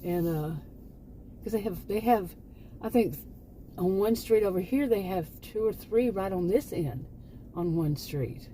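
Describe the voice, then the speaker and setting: A woman's voice: mid-range voice, animated, measured pace, warm tone, slight background noise, poor audio. A woman in her late fifties chatting to camera in a rambling, exasperated way, full of restarts and asides. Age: 50s. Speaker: vlogger. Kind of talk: casual chat to camera.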